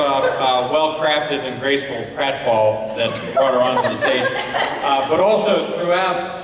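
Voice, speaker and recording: A man's voice: medium pitch, a bit bright. A man giving feedback as a festival judge, speaking to an audience and often searching for words. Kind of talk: spoken awards feedback to an audience. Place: large indoor auditorium. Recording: distant echoey hall PA, long reverb, faint noise.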